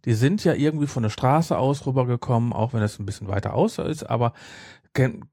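Casual podcast host, male, relaxed mellow delivery that rambles and self-corrects, very dry recording. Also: medium pitch, fairly quick, no room sound.

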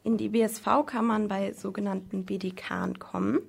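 Young female student rep, teens, earnest explainer, mid-pitched with some vocal fry. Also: fairly even intonation, normal speed. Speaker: student council representative being interviewed. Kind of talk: interview answers in simple explanatory language.

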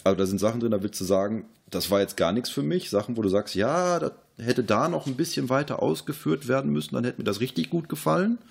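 A man's voice: medium pitch, expressive, fast, gravelly, few pauses, breathy edge. A man casually discussing films as a podcast host.

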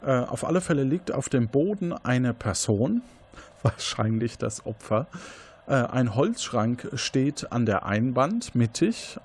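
A man's voice: mid-range voice, very animated, slow pace, a little mellow, slightly rough. A man, improvising scene descriptions as game master of an interactive mystery game, often correcting himself.

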